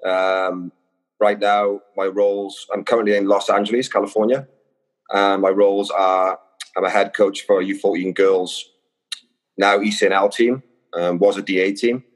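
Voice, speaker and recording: A man from Wales with a Welsh accent, a guest on a podcast, talking in a relaxed, friendly way with many fillers. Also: fairly low pitch, normal speed. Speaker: guest, youth soccer coach. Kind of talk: casual podcast interview. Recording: long reverb.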